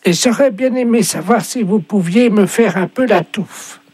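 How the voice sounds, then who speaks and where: A man's voice: high voice, normal speed, darkish tone, husky, no echo. A man voicing a hard-of-hearing, chatty and stubborn old lady in a radio prank phone call, fussy and sweetly condescending. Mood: coy, confiding.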